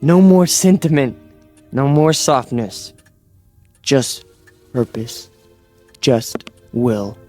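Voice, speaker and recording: A man's voice: lively intonation, drawn-out words, long pauses, dark tone. An actor playing a cold young man, obsessed with strength and power, who grows frantic, in a vintage television drama. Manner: tense, clipped, turns shrill and frantic. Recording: vintage broadcast soundtrack with music.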